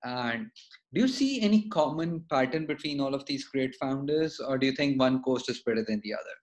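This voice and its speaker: A man's voice: medium pitch, animated, a little fast. A man in his thirties hosting a conversational interview over a video call from a plain room. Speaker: show host, interviewer.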